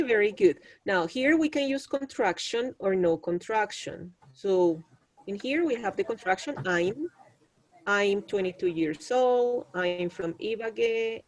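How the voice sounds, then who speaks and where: A woman's voice: medium pitch, a little mellow. A woman teaching an English class over a video call, patiently prompting her students.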